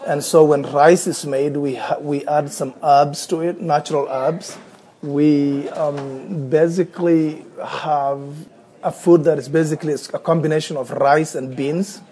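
A man in his thirties with a Somali accent speaks in a slightly high, mellow voice. He reads and talks at an unhurried, hesitant pace, often stopping to restart or repeat a word. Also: fairly even intonation. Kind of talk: reading a passage aloud, then unscripted talk.

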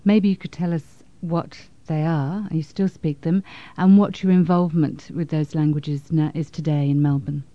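A woman conducting an interview, asking polite, measured questions. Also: low voice, steady tone, no echo, muffled recording.

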